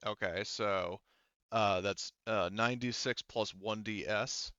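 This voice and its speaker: A man running a tabletop game, narrating off the cuff in a casual, halting, rambling way. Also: fairly low pitch, fairly even intonation, pushed and bright, smooth, no echo, highs cut off. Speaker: game master.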